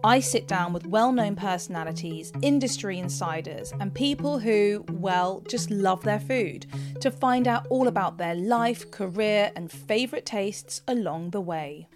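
A woman hosts a podcast interview. She opens with a read introduction and then moves into relaxed, conversational questions. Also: medium pitch, measured pace, music in the background. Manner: reads a scripted intro, then chats casually and laughs along. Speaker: podcast host.

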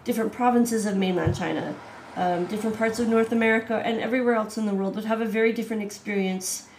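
A woman's voice: medium pitch, fairly even intonation, fast, roomy sound, slight background noise, rough recording. A woman hosting a casual video-call interview, chatty with lots of "um"s and laughs. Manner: chatty, warm laughs, many "um"s. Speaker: host, artistic director.

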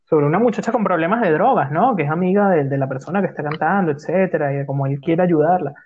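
High-voiced Venezuelan man, lo-fi sound. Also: fast. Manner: chatty, joking, storytelling. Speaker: podcast host. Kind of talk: casual chat, leading a music playlist talk. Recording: dull lo-fi sound.

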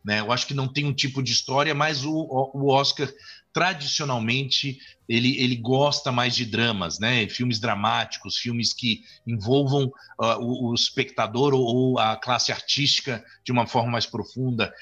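A man's voice: medium pitch, steady tone, normal speed, sharp tone. A man from Brazil, a film director and author, talking in an interview and rambling with frequent restarts and fillers. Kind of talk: interview conversation. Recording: dull lo-fi audio.

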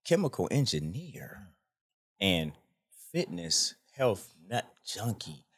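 A man's voice: lowish voice, very animated, slow pace, fairly bright tone, slightly rough. A man hosting a casual talk show, laid-back and slangy, with lots of fillers. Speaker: podcast host.

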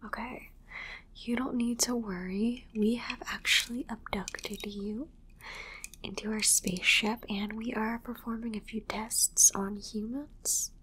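A woman whispering an ASMR roleplay as a calm, reassuring alien medical examiner, repeating short reassurances in a hushed, soothing way. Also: fairly high pitch, unhurried, a little hiss or hum, low-quality recording.

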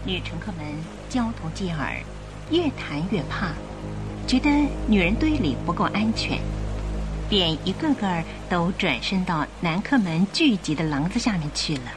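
A woman's voice: fairly low pitch, sing-song, moderate pace, music in the background, poor audio. A woman narrating a scripted radio drama in a theatrical storytelling manner.

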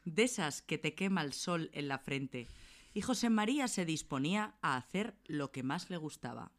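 A woman's voice: low voice, lively intonation, moderate pace, pitched below the performer's own voice. A woman with an accent from Spain playing a playful, mock-solemn narrator in an amateur radio play.

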